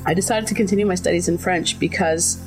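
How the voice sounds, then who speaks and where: A woman's voice: fairly low pitch, fairly even intonation, quick pace, soft background music, low-quality recording. A middle-aged woman whose first language is Finnish, answering interview questions in a thoughtful way, with many fillers and restarts.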